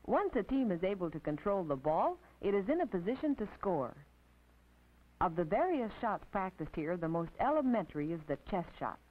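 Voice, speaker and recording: An American woman narrating an instructional film as a teacher, in a precise, didactic, scripted manner with measured phrasing. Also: mid-range voice, big pitch swings. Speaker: narrator and physical education instructor. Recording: tinny, band-limited 1950s film soundtrack.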